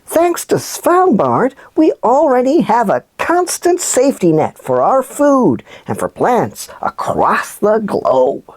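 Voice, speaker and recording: A woman voicing an excitable, dramatic puppet presenter on a children's news show, with playful, exaggerated delivery. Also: fairly high pitch, takes it slowly, few pauses, heavily acted. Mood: upbeat, informative. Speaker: host.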